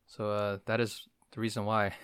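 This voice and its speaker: A man's voice: on the low side, monotone, normal speed, fairly bright tone, smooth, dry recording, clean studio sound. A man hosting a podcast in casual chat, teasing his guest and laughing easily. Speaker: podcast host.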